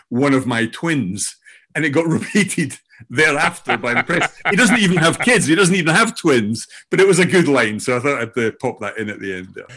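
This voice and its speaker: An older Scottish man introducing himself as a guest in a loose, halting way, often laughing, over a remote call. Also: mid-range voice, a bit bright, breathy edge. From Scotland. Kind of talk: casual self-introduction.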